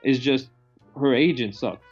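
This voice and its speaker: A man's voice: mid-range voice, a little slow, breathy edge, lo-fi sound, faint music behind. A man chatting casually about films as a podcast guest. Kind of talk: casual film discussion.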